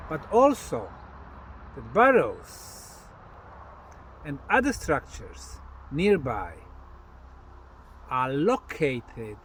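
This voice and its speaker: A man presents on site as a researcher, explaining in an unscripted monologue with emphatic, deliberate phrasing and pauses. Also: on the high side. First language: Bosnian. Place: outdoors at an open monument site. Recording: outdoor ambient noise, urban or vehicle sounds.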